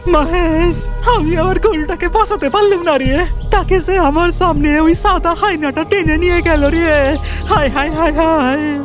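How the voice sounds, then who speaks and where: A man's voice: extremely high pitch, fairly even intonation, measured pace, hardly any pauses, music in the background. A man voicing a distraught cartoon villager in a wailing, lamenting manner. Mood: grief-stricken. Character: distraught male villager who lost his cow.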